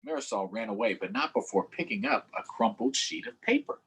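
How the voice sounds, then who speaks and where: A man with a slightly rough, husky voice hosts a children's storytime. His pitch moves up and down freely as he reads aloud and speaks straight to his young audience. The delivery is expressive and conversational. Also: a little fast, low-quality recording. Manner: addresses young viewers directly. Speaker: storytime host, councilmember. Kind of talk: children's read-aloud and live chat.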